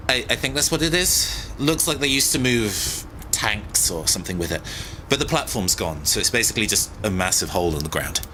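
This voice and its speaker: Mild-mannered male techie: bright, animated pitch, hesitant chatty delivery, audio drama. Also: a little fast, few pauses, a little hiss or hum. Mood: cautioning. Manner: hesitant, chatty, slightly awkward. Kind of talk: science fiction audio drama acting.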